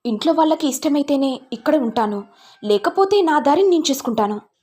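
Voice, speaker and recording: A man's voice: extremely high pitch, animated, a little fast, dark tone, pitched above the performer's own voice. A woman voicing an angry, defiant adult son in a story, ranting and accusing. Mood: bitter, resolute.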